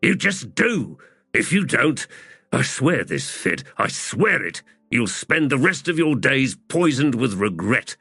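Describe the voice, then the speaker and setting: A man's voice: mid-range voice, sing-song, unhurried, creaky, bright, forceful tone, breathy edge, no room sound, voice pushed up, exaggerated voice. A man voicing a stubborn, scrappy army sapper in a fantasy audiobook, blunt, insistent and impassioned.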